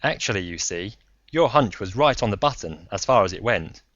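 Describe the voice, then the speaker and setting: A man voicing a calm, candid male agent in an audiobook story, measured and explanatory. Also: on the low side, no echo, dull audio.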